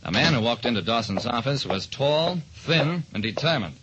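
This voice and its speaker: A man's voice: medium pitch, expressive, normal speed, background noise, close to an everyday voice. An actor, a man, playing a hard-boiled private detective who narrates his case in a clipped, dramatic old-radio style. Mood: brisk, sardonic. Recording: dull old-time radio recording.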